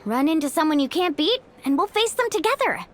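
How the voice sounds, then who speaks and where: A woman's voice: high voice, sing-song, a little fast, a little hiss or hum. A woman playing a confident, upbeat, protective big-sisterly girl champion in a video game, with a breezy, supportive manner. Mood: reassuring.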